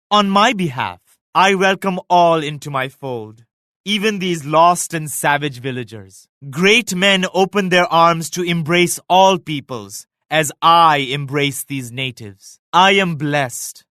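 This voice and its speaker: An actor playing a proud, pious emperor in a video game, speaking in a solemn, formal, measured way like an orator. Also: male voice, on the high side, fairly bright tone, dry recording, studio quality. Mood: gracious, proud. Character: proud, pious emperor, stately and morally stern. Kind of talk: scripted video game voice acting.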